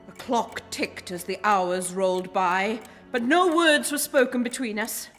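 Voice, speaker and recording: A woman playing an earnest, anxious, gentlemanly male sidekick who acts in and narrates a comic radio play. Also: medium pitch, measured pace, natural voice. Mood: solemn narrating. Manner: polite, fretful, old-fashioned gentlemanly. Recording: hall reverb, music behind.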